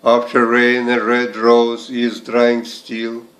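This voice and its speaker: A man reading verse aloud in a measured recitation, in short deliberate phrases set apart by pauses. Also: medium pitch, monotone, a bit bright, soft background music. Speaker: poetry reader and translator. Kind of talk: slow, measured poetry recitation.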